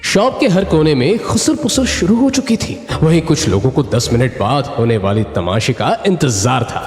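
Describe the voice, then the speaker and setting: A man's voice: medium pitch, big pitch swings, normal speed. A man giving dramatic scripted narration for an audio story. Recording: roomy echo with long reverb. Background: music.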